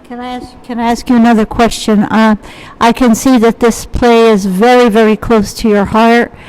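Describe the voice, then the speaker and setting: Lady doing an interview, clean smooth voice on the higher side, hesitant rambly questions. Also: flat delivery, normal speed, darkish tone, low-quality recording.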